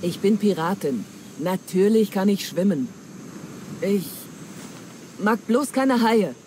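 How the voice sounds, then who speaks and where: An actress playing a wily, flattering pirate treasure hunter in a dramatic German game dub, with theatrical, coaxing delivery. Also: female voice, mid-range voice, slow. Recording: clear ambient noise.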